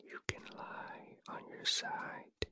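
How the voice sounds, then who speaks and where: A man hosting a sleep relaxation podcast in a breathy whisper, speaking in a gentle, soothing ASMR style. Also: takes it slowly. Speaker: podcast host and relaxation guide.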